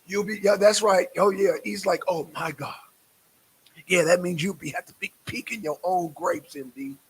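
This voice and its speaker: A man's voice: high voice, normal speed, breathy edge. A man hosting a casual live stream, speaking in a repetitive, emphatic and exasperated way.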